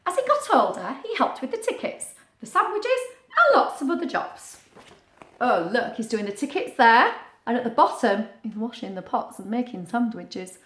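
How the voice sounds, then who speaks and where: A woman reading a picture book aloud to young children, expressive, with chatty asides and questions. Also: on the high side, moderate pace. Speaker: children's story reader. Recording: roomy, echoing sound.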